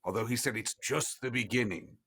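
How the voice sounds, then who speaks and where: A man playing a guarded, wry vampire centuries old, speaking deliberately in a tabletop game. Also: mid-range voice, fairly bright tone, breathy edge.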